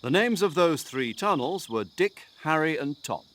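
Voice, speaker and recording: An actor playing a talkative military commander giving a mission briefing over the radio in a game cutscene. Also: male voice, a little high, animated, moderate pace, fairly bright tone. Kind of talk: video game cutscene acting.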